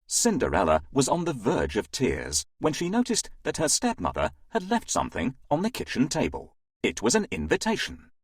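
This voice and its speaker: A man narrates a children's story with hugely varied pitch. His voice is husky and recorded very dry and close, in an expressive storytelling style. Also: moderate pace, no echo.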